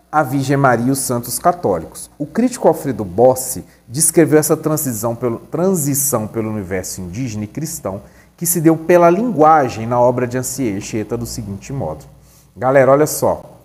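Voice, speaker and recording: A man's voice: mid-range voice, darkish tone. A man giving a recorded online lesson, chatty and conversational, asking questions and answering them himself. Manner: conversational, asks and answers his own questions. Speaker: teacher.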